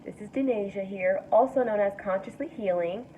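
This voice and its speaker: A young woman with a raspy morning voice, giving a relaxed, soothing tarot card reading to camera as the host. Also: mid-range voice, a little fast. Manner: relaxed, soothing, guides breathing. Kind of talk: casual spiritual reading to camera. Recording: tinny distant mic with faint noise.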